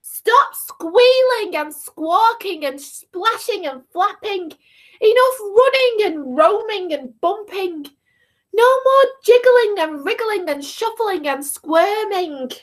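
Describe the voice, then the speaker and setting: A woman's voice: extremely high pitch, lively intonation, slow, pitched above the performer's own voice, exaggerated voice. A woman voicing a fidgety, mischievous little schoolgirl in a storybook, in an exclamatory, childlike manner. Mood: shouted command.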